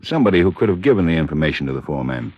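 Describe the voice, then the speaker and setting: An actor playing a brisk, businesslike American police chief, direct and questioning, in an old radio drama. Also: male voice, a bass voice, sing-song, a little mellow, no echo, exaggerated voice. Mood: pressing. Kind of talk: 1950s radio drama acting. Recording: dull vintage radio recording.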